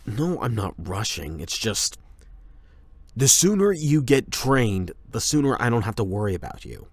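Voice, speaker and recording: A man acts out a teenage tsundere delinquent for an anime boyfriend roleplay. His voice sits fairly low but moves in very animated swings, recorded dry and close. He is brusque, exasperated and defensive, hiding that he cares. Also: measured pace, no room sound, full character voice. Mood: flustered, defensive. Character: gruff tsundere teenage male delinquent, impatient, secretly caring.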